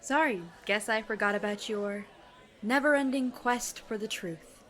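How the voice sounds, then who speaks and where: A woman's voice: on the high side, measured pace, a bit bright. A woman playing a sensible, dryly sarcastic teenage girl, the reluctantly loyal friend in an audio drama. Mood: skeptical, wry.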